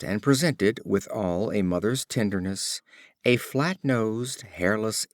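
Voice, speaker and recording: A low-pitched man narrates old fables like an audiobook reader. His intonation moves in lively swings, with expressive, measured phrasing. The sound is close and dry. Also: no room sound.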